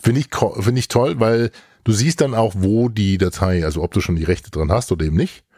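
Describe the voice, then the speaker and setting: Low-voiced man in casual podcast banter. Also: brisk, dark tone, no room sound, studio quality. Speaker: podcast host. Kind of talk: casual chat.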